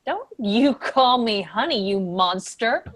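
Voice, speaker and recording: Indignant female employee for stage drama, woman acting, high pitch, emphatic, stubborn, very dry recording. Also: animated, slow, fairly bright tone, dry recording, heavily acted. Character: indignant, stubborn female office worker standing up for herself. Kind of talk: staged play reading.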